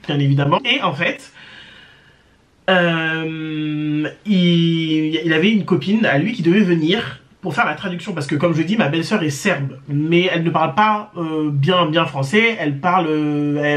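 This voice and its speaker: A woman's voice: low-pitched, moderate pace. A woman telling a personal story to her viewers in a casual, chatty way, often hesitating with fillers and restarts. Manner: chatty, addresses viewers directly. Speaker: storytime vlogger.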